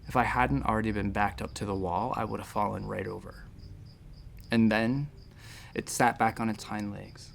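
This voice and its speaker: A man's voice: on the low side, steady tone, moderate pace, gravelly, a little hiss or hum. A man playing a nervous space-station doctor who talks in a hesitant, rambling, self-deprecating way in a sci-fi audio drama. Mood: hushed wonder. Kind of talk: sci-fi audio drama acting, conversational first-person storytelling.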